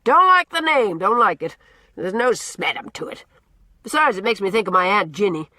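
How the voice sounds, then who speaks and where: A woman voicing a blustering, gruff old man who booms out orders and repeats himself as he teases. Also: very animated, normal speed, pushed and bright, dry recording, studio quality. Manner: booming, repeats himself, teasing.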